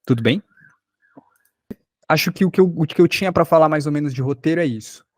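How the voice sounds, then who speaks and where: A man's voice: fairly high pitch, fairly quick, no room sound, studio quality. Brazilian man teaching an informal workshop. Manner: rhetorical questions and check-ins. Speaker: podcast workshop instructor. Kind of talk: informal teaching, explaining with examples.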